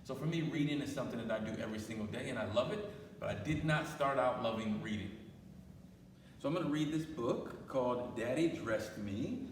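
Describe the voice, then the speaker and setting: A man reading a picture book aloud at a library storytime, pausing for chatty asides to his listeners. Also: medium pitch, big-room sound, a little hiss or hum. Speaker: storytime reader.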